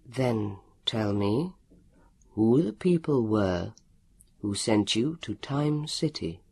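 A man voicing a strong, relentless male interrogator in an audiobook, speaking calmly and politely in stilted, formal words. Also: lowish voice, gravelly, husky, dry recording, voice pushed down, heavily acted. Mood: calm interrogation. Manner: calm, polite, measured, stilted formal wording. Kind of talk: audiobook character voice.

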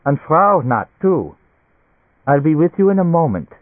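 Storybook German doctor: fussy, effusive, giggly temperament, lifted animated voice, thin lo-fi sound. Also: male voice, fairly high pitch, measured pace, dry recording, pitched above the performer's own voice. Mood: fussy, polite. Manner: effusive, broken English sprinkled with German words. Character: male German doctor, excitable, giggling, fussily reassuring. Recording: tinny band-limited radio-like sound.

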